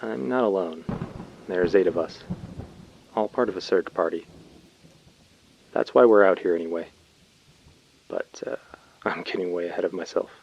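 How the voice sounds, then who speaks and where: An informal, talkative colony labourer leaving a message in a science fiction audio drama. He has a low voice and a conversational storytelling manner. The sound is dry and slightly dull. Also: darkish tone, husky, no room sound, full character voice. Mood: affectionate, confiding. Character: chatty young male colony labourer messaging his brother.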